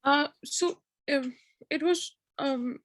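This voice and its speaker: A woman's voice: high-pitched, slow pace, dry recording. A woman from Pakistan with a Pakistani accent, speaking informally and hesitantly, circling through her thoughts as a participant in a group discussion. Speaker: PhD student and game developer, event participant.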